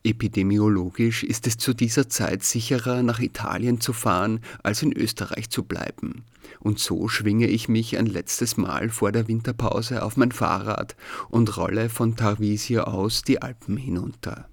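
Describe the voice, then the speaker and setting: A man from Austria reads a scripted first-person audio diary as narrator, in a measured, dryly wry way. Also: lowish voice, fairly even intonation, creaky, no echo.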